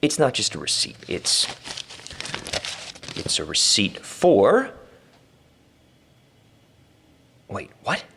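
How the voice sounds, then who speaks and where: An actor playing a frightened, desperate trapped man with a wry streak, recording himself in a breathy audio drama monologue. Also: on the low side, huge pitch swings, slow, background noise, full character voice. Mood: weary, wry.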